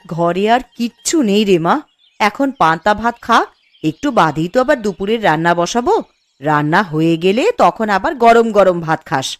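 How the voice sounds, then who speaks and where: A woman's voice: medium pitch, lively intonation, moderate pace, no room sound, lightly acted. A woman playing a scolding, principled village mother in a cartoon story, with a nagging, homely manner. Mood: coaxing. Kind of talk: Bengali cartoon story acting. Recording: music behind the voice. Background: background music.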